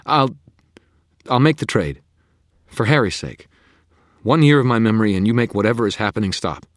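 A man's voice: on the low side, expressive, normal speed, dry recording, studio quality, voice pushed up, close to an everyday voice. A man voicing an anxious young woman who stammers yet talks bluntly. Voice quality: stammering, urgent.

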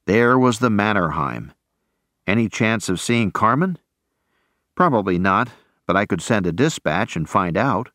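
A man giving measured, reflective first-person narration of an audiobook. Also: on the low side, very animated, fairly bright tone, no room sound, studio quality.

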